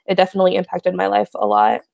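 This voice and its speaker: Young woman panelist, relaxed chat, filler-heavy, dry close sound. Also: lowish voice, quick pace, creaky, no room sound. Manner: soft-spoken, many fillers. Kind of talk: casual panel discussion.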